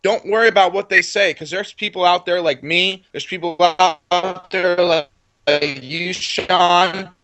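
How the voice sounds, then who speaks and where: Confident young man, slightly high voice. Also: steady tone, measured pace, pushed and bright, faint music behind. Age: 20s. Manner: confident, repeats words when starting sentences. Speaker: entrepreneur guest. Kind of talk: casual interview talk.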